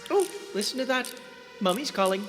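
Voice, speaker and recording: An irritable, sardonic scientist in an audio drama. His high voice swings widely with exasperation and sarcasm. Music plays clearly behind him in a long reverberant space. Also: lively intonation, normal speed. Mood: defiant, sarcastic. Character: irritable sardonic male scientist working on dangerous experiments.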